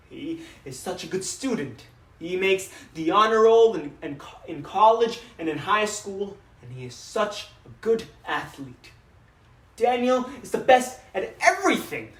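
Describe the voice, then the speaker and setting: A man performing a dramatic monologue as a proud, grieving middle-aged father, emotive and full of pauses. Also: high voice, fairly bright tone, heavily acted. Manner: theatrical, emotive, with dramatic pauses and repeated phrases. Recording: echoey room, distant microphone.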